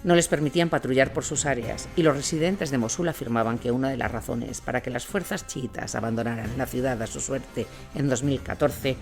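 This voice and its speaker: A man from reading a scripted documentary war narration as the narrator of an audio drama. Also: medium pitch. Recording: music bed under the voice.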